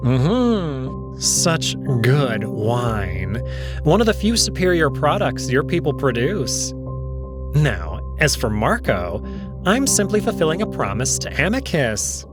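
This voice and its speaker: A man's voice: mid-range voice, very animated, slow pace, music in the background, voice pushed up. A man voicing a smug, suave young jackal prince in an audio drama, with airy, courtly, teasing politeness.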